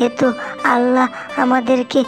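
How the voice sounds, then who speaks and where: A woman's voice: high voice, completely flat delivery, moderate pace, close to an everyday voice. A woman voicing a practical, comforting village mother in a cartoon, with a rural Bengali dialect. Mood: amazed, relieved. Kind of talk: cartoon voice acting. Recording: music under the voice.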